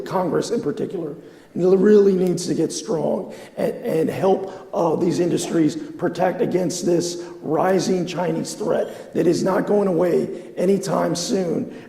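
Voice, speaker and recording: A man's voice: fairly high pitch, vocal fry, warm tone. A man, a congressman, answering firmly on a panel with repeated emphasis. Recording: echoey hall with long reverb.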